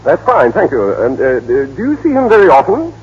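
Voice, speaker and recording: An actor playing an insistent, smooth prosecuting lawyer in an old radio drama, with formal, emphatic theatrical delivery. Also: male voice, medium pitch, huge pitch swings, a little fast, heavily acted. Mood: politely smooth. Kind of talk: 1930s-40s radio drama acting. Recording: muffled, noisy old radio broadcast.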